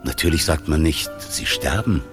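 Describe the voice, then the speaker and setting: An actor playing a frank, resigned hospital doctor in a radio drama, explaining soberly as if interviewed. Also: male voice, rumbling low, moderate pace, music in the background. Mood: matter-of-fact, resigned.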